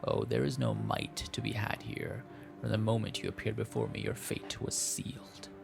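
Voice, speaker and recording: Man as female beast, breathy guttural growl, gravelly, slow, haughty, contemptuous, visual novel creature, music behind. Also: low-pitched, sing-song, pitched below the performer's own voice, exaggerated voice. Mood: menacing. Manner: slow, haughty, formal speech. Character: menacing female forest monster, contemptuous of humans.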